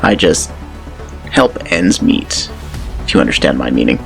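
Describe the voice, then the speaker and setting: Tobias, a man voicing a gravelly menacing enforcer. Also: rumbling low, lively intonation, faint music behind, heavily acted. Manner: slow, measured threats with dark relish. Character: menacing male corporate enforcer, calm and cold. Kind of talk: audio drama acting.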